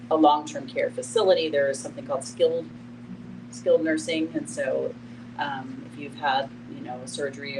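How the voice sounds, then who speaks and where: Low-voiced woman explaining, noisy recording. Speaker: host and discussion facilitator. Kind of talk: informal explaining in a group discussion. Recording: clear background noise and hum.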